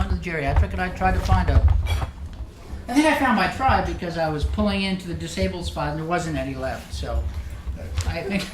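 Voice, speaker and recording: Joking rambling man in a roomy room. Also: high-pitched. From Ireland. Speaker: guest speaker. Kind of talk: casual talk to a live group. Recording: roomy meeting room, distant mic, noisy.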